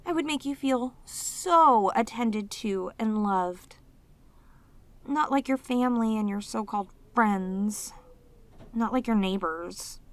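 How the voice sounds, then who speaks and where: A woman voicing a lonely, sweet, possessive demoness in an intimate roleplay audio drama, speaking tenderly and hesitantly to the listener. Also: medium pitch. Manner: tender, hesitant, slightly stammering, clingy, emotive. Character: lonely, sweet, possessive female demon, sealed away, obsessively devoted.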